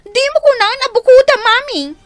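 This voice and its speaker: An actress playing a spoiled, defiant student daughter in an Ilocano radio drama, pouty and whining, with an Ilocano accent. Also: female voice, extremely high pitch, sing-song, moderate pace. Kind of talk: Filipino radio drama acting.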